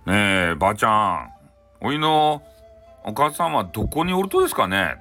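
A man's voice: lowish voice, sing-song, moderate pace, bright, forceful tone, faint music behind, exaggerated voice. A man voicing a curious, determined young boy who speaks plainly in folksy Hakata dialect.

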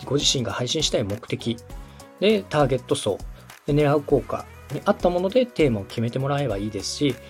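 A man's voice: mid-range voice, big pitch swings, fast talker, faint music behind. A man hosting a podcast, explaining step by step in a polite, instructive coaching style. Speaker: podcast host and radio director giving advice.

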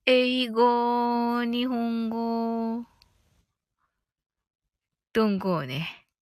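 A woman's voice: fairly high pitch, steady tone, drawn-out words, a little mellow, dry recording, studio quality. A woman hosting a casual live audio stream, thinking aloud in a giggly, cheerful way as she chats and reads out comments.

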